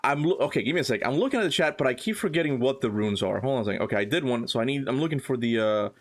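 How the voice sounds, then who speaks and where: A man's voice: mid-range voice, animated, rapid-fire. A man born in Cuba, streaming a video game and chatting casually with his viewers as he plays, often laughing. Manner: chatty, reads chat aloud, laughs often. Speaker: gaming streamer. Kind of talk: casual livestream chat while playing.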